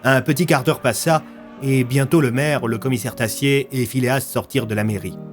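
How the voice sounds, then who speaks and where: A man's voice: medium pitch, steady tone, moderate pace. Male narrator for serialized fiction: mid-range voice, scripted dramatic storytelling, strong musical underscore. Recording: loud music bed.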